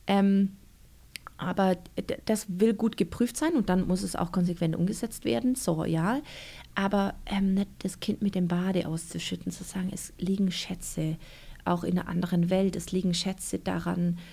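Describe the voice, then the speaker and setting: A woman's voice: on the low side, steady tone, normal speed, gravelly, warm tone. A woman, an author being interviewed, talking casually and reflectively, with many fillers and restarts.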